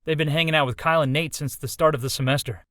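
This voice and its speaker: A man's voice: a little high, fairly even intonation, quick pace, a bit bright, dry recording, studio quality, close to an everyday voice. A man playing an earnest, loyal young college student in a visual novel, in a plain-spoken way. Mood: concerned. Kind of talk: visual novel character dialogue.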